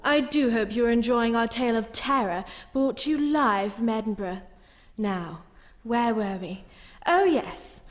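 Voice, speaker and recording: A woman playing a gracious storyteller host of a radio terror tale, speaking in measured, courteous, theatrical phrases. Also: fairly high pitch. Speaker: host narrator. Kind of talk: scripted radio drama narration. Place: live stage in a hall. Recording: reverberant hall.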